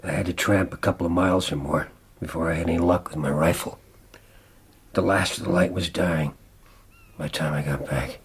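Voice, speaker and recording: An actor playing a desperate, haunted frontiersman in a radio drama, grave and weary, with a gravelly, husky voice. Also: low-pitched, steady tone, a little fast, slight background noise. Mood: grave, tense. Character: haunted, desperate male frontiersman recounting his lost love. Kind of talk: radio drama acting and narration.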